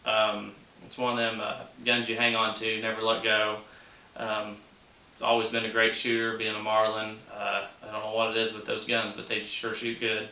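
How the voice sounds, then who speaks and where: A man's voice: fairly low pitch, completely flat delivery, moderate pace, sharp tone, slight background noise. A man in his thirties chatting casually and unscripted to viewers as a channel host, with a folksy manner and rural, colloquial grammar. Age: 30s. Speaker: host of a shooting hobby channel. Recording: roomy echo, distant microphone.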